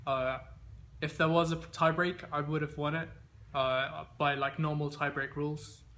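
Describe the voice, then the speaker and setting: A man's voice: a little high, level tone, unhurried, fairly bright tone. A man in his twenties, raised in England, answering interview questions in an easygoing way with many fillers and restarts. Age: 20s. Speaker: interviewee, games player.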